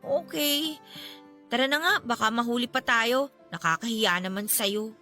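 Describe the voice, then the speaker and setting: A woman's voice: on the high side, normal speed, bright, forceful tone, faint music behind. An actress playing a clingy, desperate young Filipino woman who pleads emotionally with her lover in a radio drama. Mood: sulky, hurt.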